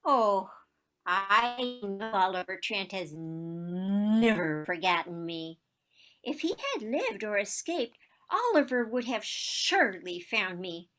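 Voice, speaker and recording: A woman acting a wistful, romantic elderly woman in a stage play, with dramatic, deliberate delivery. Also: medium pitch, expressive, a bit bright. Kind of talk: one-act stage play performed on a video call.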